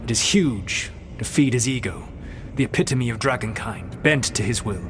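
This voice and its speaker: An actor playing an ancient elven mage in a fantasy game, speaking in a measured, formal, solemn and regretful manner. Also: male voice, medium pitch, noisy background, natural voice. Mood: grave, scornful. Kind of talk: scripted fantasy game dialogue.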